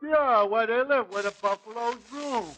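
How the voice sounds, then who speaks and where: An actor playing an eager, slow-witted dope in a 1940s radio comedy. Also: male voice, very high-pitched. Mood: dopey, eager. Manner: dopey, slow. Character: slow-witted, eager male dimwit tavern regular. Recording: noisy 1940s radio broadcast.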